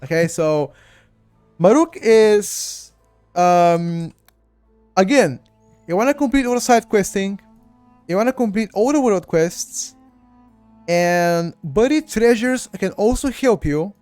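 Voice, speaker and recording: A man with a Bulgarian accent and a fairly high voice walks viewers through a game live. He talks to his chat directly and loosely, circling back and repeating his words as he goes, with faint music underneath. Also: expressive, slow pace, long pauses, a little mellow, clear, resonant tone, dry recording. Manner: chatty, addresses chat directly, repeats himself. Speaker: game guide streamer. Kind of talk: live-streamed gameplay walkthrough.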